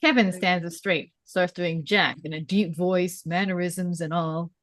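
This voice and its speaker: A woman's voice: lowish voice, fairly even intonation, a little slow, no room sound. A woman reading stage directions aloud as scripted narration at a script table read.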